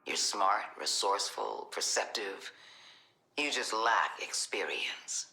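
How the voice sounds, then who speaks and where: An actress playing a commanding middle-aged government director in a video game, measured and coolly manipulative. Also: female voice, extremely low pitch, animated, gravelly, sharp tone, echoey room, in a whisper, exaggerated voice, rough recording. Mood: cool, persuasive. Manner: measured, authoritative.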